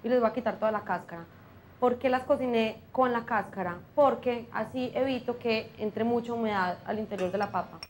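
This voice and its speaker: A young woman with a fairly high voice hosts a televised cooking lesson. She talks viewers briskly through each step. She is recorded on a lapel mic in a small studio kitchen, with faint room noise behind her. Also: darkish tone. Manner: brisk, explanatory, addresses viewers directly. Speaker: cooking show host.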